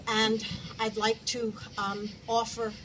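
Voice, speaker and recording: A woman speaks at a memorial gathering, telling her stories in a measured, unhurried way. She is picked up from some distance, and clear background noise surrounds her voice. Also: on the high side, flat delivery. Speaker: deli worker speaking at a memorial. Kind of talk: memorial remarks. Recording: distant mic, noisy room.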